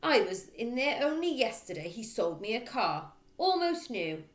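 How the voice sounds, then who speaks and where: A woman voicing a bullying middle-aged headmistress in a storybook, who barks and shouts with open contempt. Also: fairly high pitch, big pitch swings, normal speed, echoey room, voice pushed up. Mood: gruff, brusque.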